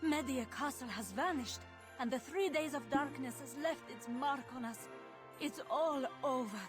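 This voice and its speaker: A woman's voice: sits high, moderate pace, lightly acted. An actress playing a grave, despairing townswoman in a video game, solemn and dramatic. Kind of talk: video game character acting. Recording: music behind the voice.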